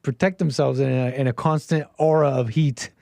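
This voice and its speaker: A man's voice: mid-range voice, steady tone, moderate pace, vocal fry, a little mellow, no room sound. A man hosting a call-in show, talking casually and animatedly, often laughing. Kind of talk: casual live-stream talk.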